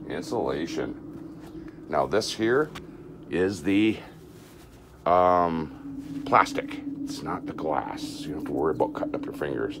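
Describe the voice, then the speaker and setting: A man's voice: very deep voice, very noisy. A man filming a casual how-to vlog in a large indoor workspace, talking folksy and enthusiastic with lots of fillers. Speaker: haunted attraction builder.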